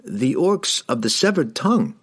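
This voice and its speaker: A man voicing a sly halfling in a fantasy audiobook, feigning meek obedience in measured, persuasive speech. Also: medium pitch, very animated, fairly bright tone, breathy edge, pitched above the performer's own voice, exaggerated voice.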